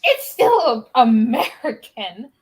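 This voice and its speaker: A woman's voice: on the high side, very animated, slow, a loud crowd. A woman in a casual group chat, rambling and unsure.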